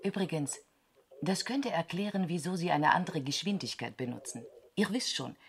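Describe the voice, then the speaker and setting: An actress playing a quick-thinking, questioning moon station crew member in a science fiction radio drama, analytical and excitable. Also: female voice, on the low side, slight background noise. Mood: thoughtful. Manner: analytical, exasperated at times.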